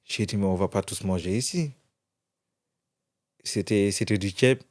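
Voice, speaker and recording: A man's voice: lowish voice, unhurried, darkish tone. A young man from France, a guest in an interview, telling his story casually with many restarts. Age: young adult.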